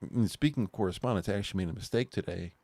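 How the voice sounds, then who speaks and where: A man's voice: fairly low pitch, very fast, a little mellow, a little hiss or hum. A man co-hosting an online talk show, rambling casually and laughing at his own jokes. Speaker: podcast co-host. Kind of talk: casual chat.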